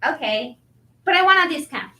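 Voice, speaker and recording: A woman acting a weary, determined working immigrant in a staged play reading, measured and resolute. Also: high-pitched, expressive, bright, forceful tone. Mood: friendly. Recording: roomy echo, distant mic.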